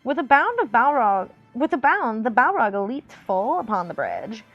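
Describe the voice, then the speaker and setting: A woman's voice: a little high, big pitch swings, normal speed, highs cut off, soft background music. A woman voicing a ditzy dumb-blonde girl reading an epic fantasy storybook, every phrase lilting up like a question. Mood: excited, dramatic. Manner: airy, questioning upspeak.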